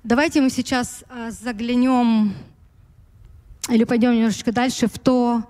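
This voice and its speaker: Slow-talking woman preacher, kinda high voice, slight american tinge to her russian, keeps hunting for words. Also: steady tone, dark tone, long reverb tail. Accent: American-influenced Russian. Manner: slow, searching for words, with light laughs. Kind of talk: sermon.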